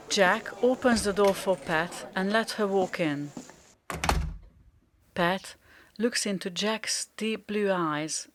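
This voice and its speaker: A woman's voice: on the low side, noisy background. A woman reading scripted, descriptive narration for a radio drama.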